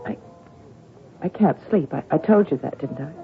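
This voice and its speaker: A woman's voice: deep voice, normal speed. An actress playing a despairing, worn-out female tennis champion, sardonic and bitter, in a radio drama. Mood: weary despair. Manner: sardonic, weary, dramatic swings into anguish. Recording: tinny phone-like old radio broadcast, music behind.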